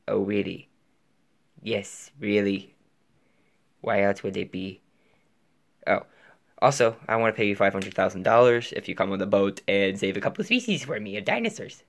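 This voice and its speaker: A man's voice: lowish voice, moderate pace, gravelly, long pauses, fairly bright tone. A young man in a bedroom voicing several plush toys in an improvised skit, often dropping to a whisper. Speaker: plush puppeteer voicing toy figures. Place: bedroom floor and desk, indoors.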